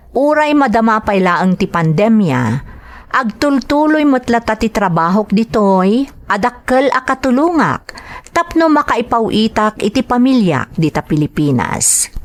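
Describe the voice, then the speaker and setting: Ilocano woman host, slow and lively delivery, reads letters for drama. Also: fairly high pitch. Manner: slow, expressive reading. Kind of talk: reading letters and greetings, radio drama.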